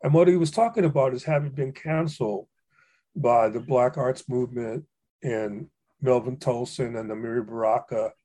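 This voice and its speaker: Older man with a dark, husky voice. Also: medium pitch, normal speed. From Hawaii. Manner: rambling, many fillers and restarts, warm anecdotes. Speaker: poet and guest. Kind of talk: reflective storytelling conversation.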